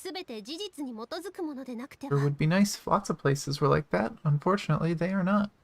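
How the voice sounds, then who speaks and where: A woman's voice: medium pitch, big pitch swings, unhurried, vocal fry, a crowd in the background. A woman game streamer giving casual live commentary as she plays. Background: game music.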